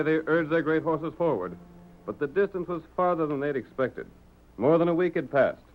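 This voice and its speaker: A man's voice: mid-range voice, close to an everyday voice. A man giving formal, dramatic scripted narration for a 1930s radio drama. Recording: tinny, band-limited old radio recording.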